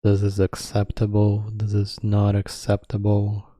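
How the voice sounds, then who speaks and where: A man guides a meditation in a very soft, dark, relaxed voice. He speaks slowly and calmly, leaving long pauses between short phrases. The sound is dry and close. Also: lowish voice, dry recording.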